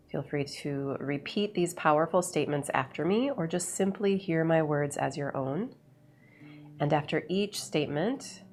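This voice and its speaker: A woman leading a guided meditation in a soothing, unhurried way, with measured phrases and long pauses. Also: low-pitched, darkish tone, a little hiss or hum. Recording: voice over background music. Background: background music.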